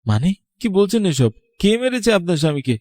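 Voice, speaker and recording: A man's voice: sits high, sing-song, brisk, darkish tone, dry recording. A man playing a concerned villager in cartoon story dialogue, earnest and inquisitive. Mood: puzzled concern. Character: concerned male villager questioning a distressed stranger.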